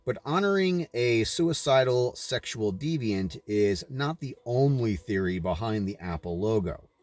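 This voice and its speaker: A man reading a religious book aloud in deliberate, emphatic phrases, breaking into informal commentary as he goes. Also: medium pitch, expressive, darkish tone, dry recording. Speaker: reader of a religious book, adding asides.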